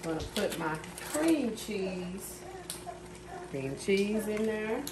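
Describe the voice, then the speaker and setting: A woman's voice: mid-range voice, drawn-out words. Woman chatting while she cooks. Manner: relaxed, chatty, addresses viewers as y'all. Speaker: home cook vlogger. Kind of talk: casual cooking narration. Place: home kitchen, indoors. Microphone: phone camera filming over the stove. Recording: echoey kitchen with cooking noise. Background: sizzling pans and clattering pots.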